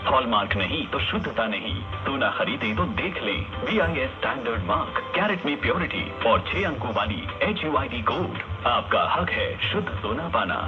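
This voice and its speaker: A man's voice: mid-range voice, sing-song, moderate pace, few pauses. A man delivering a scripted public awareness advert as a radio announcer. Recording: dull radio broadcast with music. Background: music.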